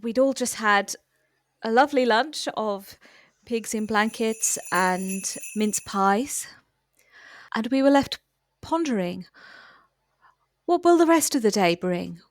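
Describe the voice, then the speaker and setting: An earnest hospital temp played by a woman narrates at a slow, measured pace in a close, dry sound. Her improvised comic monologue is wistful, hung on dramatic pauses. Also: a little high, no echo.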